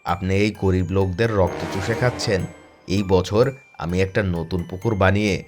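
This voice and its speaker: A man's voice: low-pitched, steady tone, moderate pace, music in the background, close to an everyday voice. A man voicing an earnest young farming engineer in a cartoon, indignant and confident.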